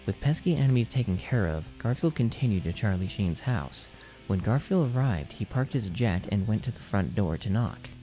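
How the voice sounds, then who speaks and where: A man's voice: fairly low pitch, animated, moderate pace, dark tone, soft background music, poor audio. A man narrating absurd fan fiction as a scripted audiobook reading. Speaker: audiobook reader.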